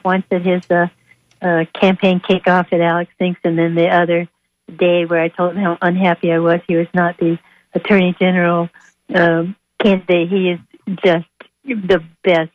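A woman calling in to a radio show over the phone, speaking off the cuff in a halting, hesitant way. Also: fairly low pitch. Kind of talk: unscripted call-in comment. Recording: tinny narrow phone line.

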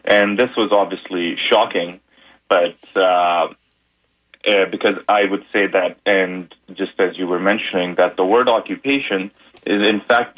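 A man, a guest journalist, explaining research findings in a radio interview, measured and full of fillers. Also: low voice. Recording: muffled lo-fi radio audio.

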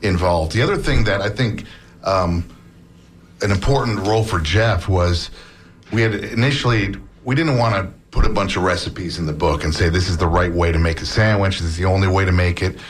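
A man talking casually as a guest author on an interview show, relaxed and chatty, with many fillers and restarts. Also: low-pitched, brisk, a little hiss or hum. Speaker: book author, academic.

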